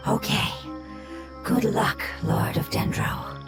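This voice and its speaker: A woman voicing a solemn, ancient nature spirit in a video game, soft, breathy, formal and measured. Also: music in the background, lightly acted. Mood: grateful, solemn. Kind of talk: English video game voice acting.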